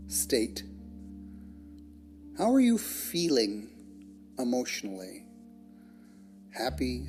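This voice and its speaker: A person leading a guided meditation in a measured, soothing manner, with long pauses between phrases. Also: mid-range voice. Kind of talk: guided meditation, scripted narration. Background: soft plucked guitar music.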